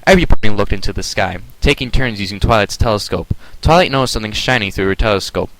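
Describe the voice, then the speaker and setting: A man reading his own fan story aloud as a soft, hushed dramatic narrator. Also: lowish voice, quick pace, low-quality recording.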